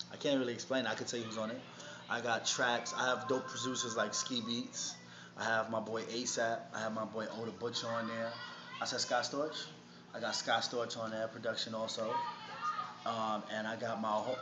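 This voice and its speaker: A man from Harlem with a New York accent, talking casually and in slang as a rapper being interviewed. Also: on the low side. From Harlem, New York City. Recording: noisy, muffled, echoey room recording.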